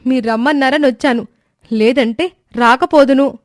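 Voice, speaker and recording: A woman voicing a touchy, whining young daughter-in-law who tattles, in an animated moral story. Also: high-pitched, moderate pace, no echo, clean studio sound, natural voice. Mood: offended. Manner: whining, complaining.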